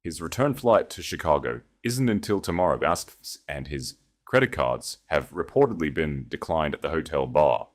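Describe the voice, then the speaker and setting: A man playing a calm, devoted aristocratic duke consort in an audio story, speaking in a measured, conversational way. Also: rumbling low, heavily acted.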